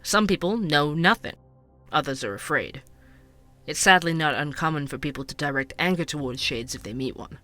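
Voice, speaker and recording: A man's voice: on the high side, lively intonation, normal speed, sharp tone, soft background music. An actor playing a curious, chatty male podcast host, conversational and wry in an explanatory way, in a scripted audio drama. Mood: measured, explanatory. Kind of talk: scripted fiction podcast narration and interviews.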